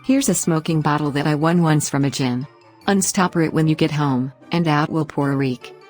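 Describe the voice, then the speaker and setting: A man's voice: a little high, moderate pace, soft background music, pitched above the performer's own voice, close to an everyday voice. A man voicing a kindly, reassuring mother house in a children's storybook read aloud. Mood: helpful.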